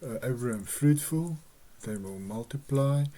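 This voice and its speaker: Slow, mellow man with Afrikaans roots. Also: mid-range voice, expressive. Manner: earnest, measured, with hesitations and restarts. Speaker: religious video host. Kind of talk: slow personal teaching monologue.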